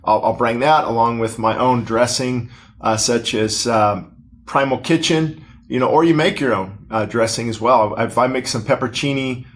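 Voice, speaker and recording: A man's voice: medium pitch, fairly even intonation, normal speed. Middle-aged male pastor reading his own book into a close podcast mic; loose, conversational, lots of ums and restarts, mid-range voice. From Southern California. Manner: conversational, frequent fillers and self-corrections. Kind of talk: casual reading with asides. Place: small studio with posters on the wall. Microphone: desk-mounted podcast microphone.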